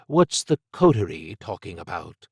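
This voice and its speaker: A man's voice: low voice, sing-song, moderate pace, husky, no room sound, studio quality, heavily acted. A man voicing a blunt, guarded woman agent. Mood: pressing. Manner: blunt, pressing, clipped.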